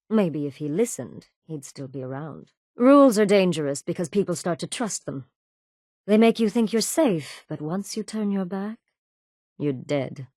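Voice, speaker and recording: An actress voicing a ruthless, menacing raider gang leader in a video game, cold, commanding and sardonic. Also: female voice, fairly low pitch, darkish tone, no room sound, studio quality, lightly acted. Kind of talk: video game character dialogue.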